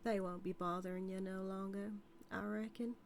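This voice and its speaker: A woman's voice: medium pitch, fairly even intonation, normal speed, natural voice. Woman acting a teasing Southern outlaw cowgirl, noisy recording. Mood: cool, cocky. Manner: drawling, teasing, folksy. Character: reformed outlaw cowgirl, teasing, dominant, dangerously infatuated. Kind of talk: scripted audio roleplay, intimate character acting.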